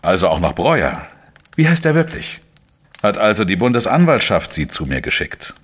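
Very deep-voiced, dogged male sleuth. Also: big pitch swings, normal speed, husky. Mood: probing, calm. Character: dogged, wry male journalist-sleuth narrating his own case. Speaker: lead actor and first-person narrator. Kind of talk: German crime audio drama, narration and dialogue.

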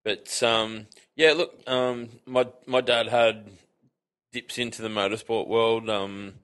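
An Australian man as a podcast guest, talking in a relaxed, rambling way full of fillers. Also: mid-range voice, slow pace, smooth. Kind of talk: casual chat.

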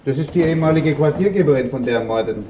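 A man's voice: on the high side, rapid-fire, mellow, highs cut off, slight background noise, lightly acted. Actor as a dutiful junior policeman. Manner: formal, obedient. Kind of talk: German-language radio crime drama acting.

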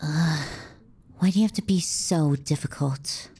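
A woman plays a teasing, clingy younger sister in an intimate ASMR roleplay. Her voice is dark and soft, close on the mic, with breathy dips toward a whisper. She takes her time, delivering sardonic jabs with a possessive edge. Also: low-pitched, unhurried, exaggerated voice. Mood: exasperated.

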